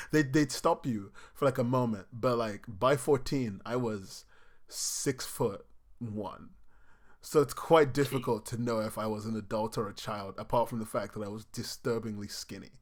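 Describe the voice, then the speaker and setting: A man's voice: fairly low pitch, moderate pace, darkish tone. Laid-back young man with British accent. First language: not English. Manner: laid-back, swears freely, laughs often. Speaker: podcast co-host. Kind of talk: casual chat.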